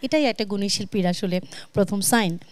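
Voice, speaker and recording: A woman's voice: mid-range voice, brisk. A woman with a Bangladeshi accent hosting a music talk show as its television presenter, recorded in a studio. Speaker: television host.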